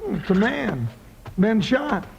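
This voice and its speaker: An actor playing a big, folksy, excitable western deputy sidekick, full of colorful frontier slang, in old-time radio style. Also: male voice, high voice, takes it slowly, gravelly, highs cut off, full character voice. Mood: puzzled. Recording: noisy vintage radio recording.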